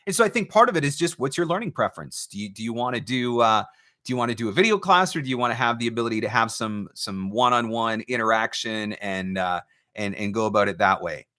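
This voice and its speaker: A man's voice: mid-range voice, lively intonation, fast, pushed and bright. A man hosting a casual question-and-answer stream as a coach, talking conversationally and stressing points by repeating phrases. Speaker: host, voice-over marketing coach.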